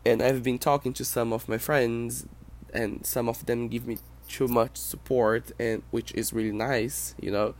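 A Brazilian man who speaks English as a second language, with a Brazilian Portuguese accent, hosting a personal podcast in a reflective, halting way. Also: medium pitch, level tone. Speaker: podcast host.

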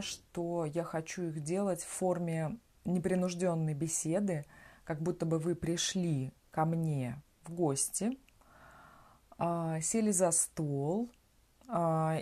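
A woman from Russia hosting a podcast, explaining words slowly and simply and often checking in with the listener. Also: lowish voice, warm tone. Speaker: podcast host teaching Russian. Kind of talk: slow conversational language lesson.

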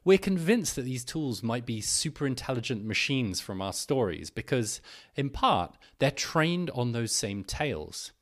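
Man narrating with very lively intonation. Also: medium pitch, a little slow. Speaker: podcast host reading an article aloud. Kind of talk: scripted narration, read-aloud article with personal introduction.

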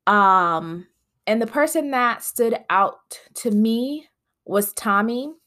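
A woman's voice: fairly high pitch, lively intonation, slow, fairly bright tone. A woman hosting her own podcast in casual, rambling chat, her voice nasal from congested sinuses. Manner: chatty, rambling with frequent fillers. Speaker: podcast host.

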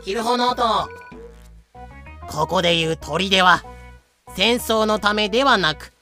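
A man's voice: high voice, a little slow, pushed and bright. A man reading scripted narration for an adventure story. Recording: music bed behind voice.

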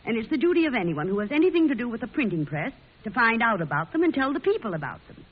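A woman's voice: a little high, very animated, slightly rough. Feisty woman printer, very muffled old radio. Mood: determined. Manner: brisk, plain-spoken, folksy. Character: feisty, blunt 19th-century woman printer and newspaper publisher. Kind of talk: old-time radio drama acting.

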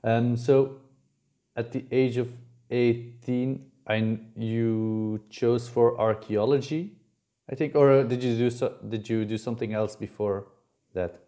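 A man's voice: mid-range voice, fairly even intonation, slow pace, dark tone. A young man hosting a podcast, asking questions in a rambling, casual way. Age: young adult. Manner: rambling, with fillers and restarts. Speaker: podcast host. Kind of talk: casual interview.

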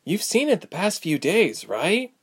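A man voicing a hurt, hushed young woman. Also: high-pitched, very animated, unhurried, breathy edge, pitched above the performer's own voice, full character voice. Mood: pained. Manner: strained, quiet, pained. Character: wounded young woman, tired and guarded.

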